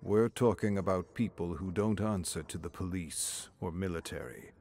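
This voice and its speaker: A middle-aged man in an audiobook story, acted out by a male reader, low in pitch and guarded. He speaks gravely, cautioning his listeners with restraint. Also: normal speed, a little mellow, slight background noise. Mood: grave warning. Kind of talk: audiobook character acting.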